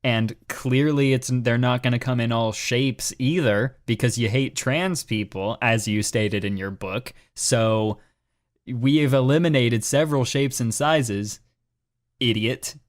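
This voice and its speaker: Sarcastic man, slow dry-sounding recording. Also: mid-range voice, no echo. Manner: sarcastic, exasperated. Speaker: podcast co-host. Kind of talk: mocking dramatic reading and casual commentary.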